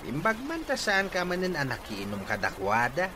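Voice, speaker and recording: A man's voice: fairly high pitch, huge pitch swings, moderate pace. A man with a northern Philippine accent plays a concerned, nagging father in radio comedy drama, exclaiming and admonishing. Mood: exasperated. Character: concerned, nagging father advising young men. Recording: faint background noise.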